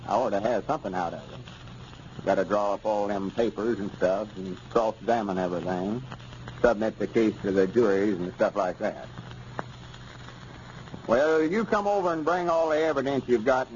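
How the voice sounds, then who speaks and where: A man's voice: lowish voice, normal speed, darkish tone, close to an everyday voice. Boastful rural Southern storekeeper played by a man, old radio. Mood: eager, self-important. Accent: Southern US, rural Arkansas. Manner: folksy, pompous, self-satisfied. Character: boastful, self-important rural storekeeper with lawyer ambitions. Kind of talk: 1930s radio comedy acting. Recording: scratchy, muffled 1930s radio recording.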